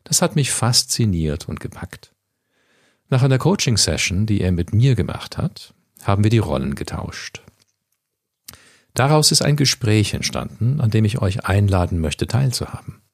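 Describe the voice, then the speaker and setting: A Canadian man who learned English first, speaking German as a coach and podcast host in a measured, reflective way. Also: fairly low pitch, gravelly, dry recording, studio quality. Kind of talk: scripted intro then interview answers.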